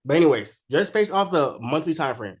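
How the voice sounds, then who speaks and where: A man's voice: fairly high pitch, expressive, a little fast. A man who is sick with a cold gives casual, unscripted chart commentary as a trading video creator, talking informally with slang and frequent check-in tags. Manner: informal and slangy, keeps tagging statements with "right?".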